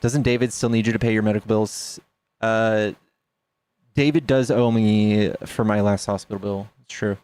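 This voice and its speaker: A man's voice: lowish voice, fairly even intonation, normal speed, darkish tone, clean tone, no room sound. A man in his thirties chatting casually on a livestream, joking with viewers and reading out donations. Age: 30s. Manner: chatty, reads donations aloud, jokes with chat. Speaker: streamer.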